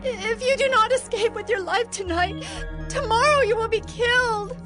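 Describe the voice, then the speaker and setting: A woman's voice: extremely high pitch, moderate pace, music in the background. A woman playing a young, protective wife in a dramatized scripture recording. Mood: urgent warning. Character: Michal, young protective wife of a hunted man. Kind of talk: dramatized scripture audio acting.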